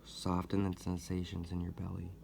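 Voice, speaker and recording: Very deep, monotone man guiding meditation. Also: gravelly, over music. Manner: gentle, deliberate, with long pauses. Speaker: meditation guide, host. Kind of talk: guided meditation, soothing instruction.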